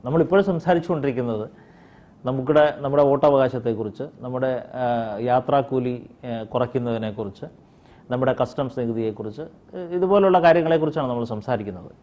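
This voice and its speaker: A man giving his opinions in an interview, deliberate and argumentative, with hesitant pauses. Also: mid-range voice, lo-fi sound. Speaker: interview guest commenting on expatriate affairs.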